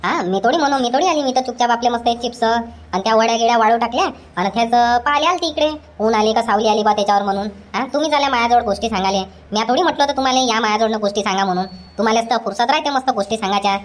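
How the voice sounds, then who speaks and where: Woman playing a whiny cartoon daughter-in-law, talks back quick and high. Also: natural voice. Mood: complaining. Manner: whiny argumentative back-talk. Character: sulky bickering young daughter-in-law. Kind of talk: cartoon comedy voice acting.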